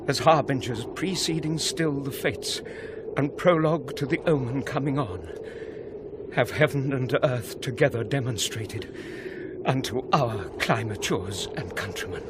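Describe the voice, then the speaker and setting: Guy acting a grave scholarly shakespeare gentleman, british, declaims slowly, noisy radio play sound. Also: mid-range voice, close to an everyday voice. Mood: grave, ominous. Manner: declamatory, measured verse delivery. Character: grave scholarly gentleman confronting a ghost on night watch.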